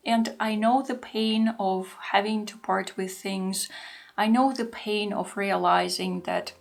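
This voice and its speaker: Woman in her thirties, gentle reflective voice-over, slight fry at phrase ends. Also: medium pitch. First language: Russian. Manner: calm, unhurried, introspective. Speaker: art journaling creator.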